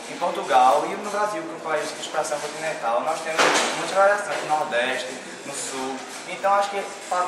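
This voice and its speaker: Halting man in a very echoey space. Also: a little high. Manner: halting, many restarts. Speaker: interviewee. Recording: very echoey, distant, noisy.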